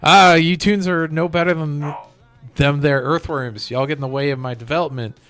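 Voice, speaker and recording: A man's voice: on the high side, fairly even intonation, normal speed, a bit bright, lightly acted. A man voicing a stubborn corporate robot land developer from a video game, with a drawling, folksy, self-important manner, over music. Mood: menacing, indignant. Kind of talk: reading video game villain dialogue aloud.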